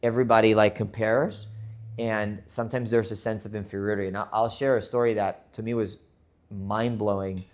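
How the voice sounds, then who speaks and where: Male podcast host, earnest motivational monologue, slightly low voice, stumbles and restarts. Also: flat delivery, brisk. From Spain. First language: Spanish. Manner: earnest, repeats words as he restarts.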